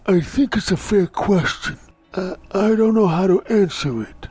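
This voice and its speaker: An actor playing a nervous, cagey underworld boss in a motion comic, speaking formally, with measured caution. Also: male voice, high voice, darkish tone, breathy edge, faint music behind. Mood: uneasy. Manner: measured, careful, uneasy.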